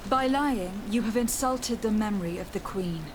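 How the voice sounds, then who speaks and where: Woman as poised noble envoy for fantasy game; formal, mid-pitched, noisy ambience behind. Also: moderate pace, natural voice. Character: composed, diplomatic female noble envoy. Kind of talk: scripted game dialogue acting.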